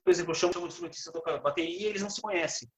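Brazilian man in an echoey room. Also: high voice. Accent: Brazilian Portuguese. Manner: relaxed, halting, many fillers and restarts. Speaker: guest guitarist. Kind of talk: casual podcast chat. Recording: roomy echo.

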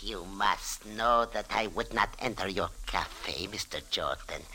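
Sharp-voiced, scheming beggar boss. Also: male voice, low-pitched, a little slow, slightly rough, exaggerated voice. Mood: wheedling, servile. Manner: formal stilted broken English, obsequious address. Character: shabby scheming Egyptian street-beggar boss, wheedling then menacing. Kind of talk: 1940s radio drama acting. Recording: old radio drama recording.

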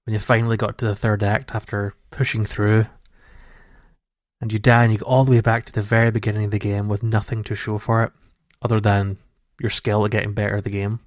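A man with a fairly low voice co-hosts a casual gaming podcast, wandering through his thoughts with plenty of restarts and fillers. His audio is dry and raw, taken straight from a home computer mic with no room sound. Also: fairly even intonation, fast talker, no room sound. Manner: rambling, many restarts and fillers. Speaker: podcast co-host. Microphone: home computer mic over a call.

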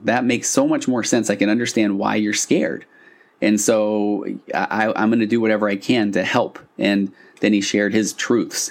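A middle-aged man hosting a podcast as a couples therapist, explaining things in a conversational, rambling way with examples and quoted asides. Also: on the low side. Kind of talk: informal explanatory podcast monologue.

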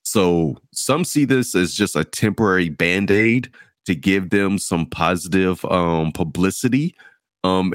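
A man hosting a casual gaming discussion, talking in a measured way with plenty of fillers as he steers the topic. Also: sits low, dry recording, clean studio sound. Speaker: host.

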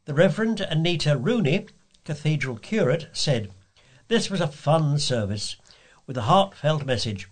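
A man's voice: fairly high pitch, expressive, normal speed. A man reading scripted local news as a volunteer reader.

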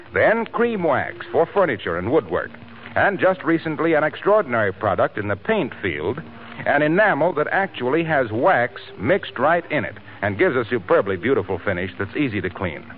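A man announcing a 1940s radio show and delivering its sponsor pitch in scripted period style. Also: a little hiss or hum. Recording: old narrow-band radio broadcast.

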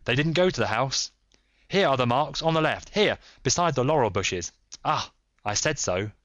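A man voicing an impatient, intense, commanding detective in a storybook, with a quick, clear, exclamatory delivery. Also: mid-range voice, lively intonation, fairly bright tone, no echo, voice pushed up, close to an everyday voice. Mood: keen, urgent. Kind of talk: dramatised audiobook character.

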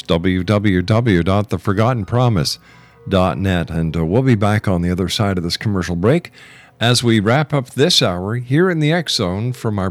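Low-pitched male radio host. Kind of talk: radio interview and presenting.

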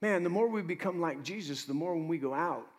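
A man preaching as pastor, moving between loud exhortation and quiet asides, joking with his congregation. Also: on the high side, fairly quick. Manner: jokes with the congregation. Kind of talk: preaching a sermon.

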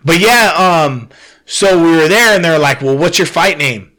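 A man's voice: a little high, expressive, moderate pace, sharp tone, low-quality recording. A young man telling a story in casual, profane podcast chat, full of slang and fillers. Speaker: guest telling his fight story.

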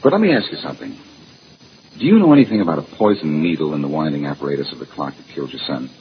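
Vintage radio drama lead: a cool, deep detective with lively inflection, muffled sound. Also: male voice, racing, exaggerated voice. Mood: firm, serious. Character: cool, decisive male amateur detective. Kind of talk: 1940s radio mystery acting. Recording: noisy, muffled old radio recording.

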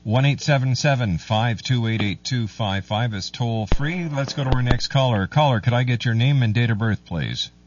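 A man hosting a call-in radio show, polite and brisk, calling callers dear. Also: medium pitch. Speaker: radio host. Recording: slightly distant, dull radio sound.

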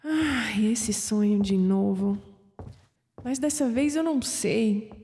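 An actress with a Brazilian accent playing a wistful, anguished young skeptic in an audio drama, sighing and pausing dramatically. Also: female voice, fairly high pitch, warm tone, a little hiss or hum. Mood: wistful, troubled. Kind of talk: audio drama acting, inner monologue. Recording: long reverb, music underneath.

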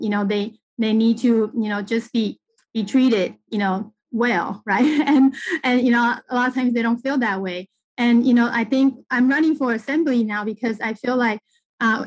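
Higher-pitched middle-aged woman from Taiwan, halting. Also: dry recording, low-quality recording. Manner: halting, conversational, punctuated with "you know". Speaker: political candidate, interview guest. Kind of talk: casual campaign interview talk.

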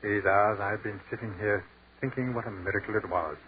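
An actor playing an educated army captain who speaks in a crisp, articulate and lyrical way, in a 1940s radio drama. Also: male voice, on the low side, brisk, lightly acted. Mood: measured. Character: educated male army captain, dreamy and commanding. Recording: tinny, hissy 1940s radio broadcast.

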